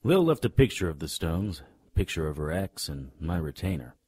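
An actor playing a sardonic, tough-talking private detective in a noir radio drama. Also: male voice, low-pitched, big pitch swings, normal speed, warm tone, breathy edge, no room sound, exaggerated voice. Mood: wry, world-weary. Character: hard-boiled male private detective, sardonic and threatening.

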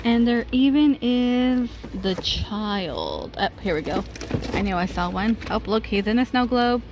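A woman's voice: on the high side, expressive, measured pace. A woman vlogger giving a chatty shopping walkthrough, laughing often, filmed on a handheld phone in a large store. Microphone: handheld phone camera. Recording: noisy store background.

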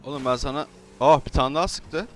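A man in casual, jokey gameplay chat, his voice at an ordinary middle pitch. He banters in an animated, improvised roleplay way, with faint vehicle noise and game ambience behind him. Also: a little fast. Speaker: gaming video streamer.

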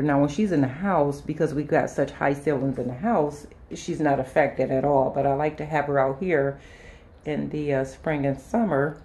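Low, mellow-voiced woman chatting casually. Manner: halting, unscripted, trailing and circling back. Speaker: home and lifestyle vlogger. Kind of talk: casual chat to viewers during a house tour. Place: inside a house, walking between rooms and sun porch.

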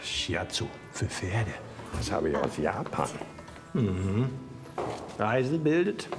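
An actor playing a kindly retired horse vet, older, soothing and easygoing, in a children's audio drama. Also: male voice, lowish voice, very animated, takes it slowly, music in the background. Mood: cheerful.

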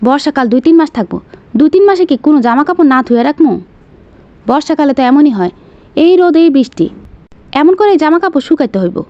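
A woman's voice: sits high, animated, fast talker, long pauses, warm tone, dull audio. A woman playing a harried, grumbling cartoon mother with a Bangladeshi Bengali accent, complaining in colloquial speech. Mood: exasperated scolding. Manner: complaining, exasperated.

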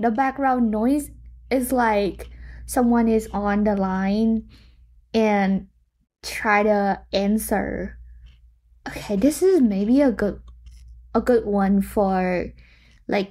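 Unhurried young woman demoing code. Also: mid-range voice, darkish tone. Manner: thinking aloud, reacting to results. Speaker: tech tutorial host. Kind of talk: casual screen-share tutorial. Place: indoor room.

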